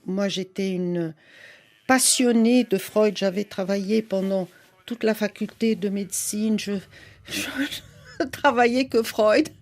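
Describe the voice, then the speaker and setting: Older woman, measured slow speech, interview guest. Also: medium pitch. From Brazil. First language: Portuguese. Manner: thoughtful, unhurried, laughs now and then. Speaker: guest, psychoanalyst and writer. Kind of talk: relaxed interview conversation.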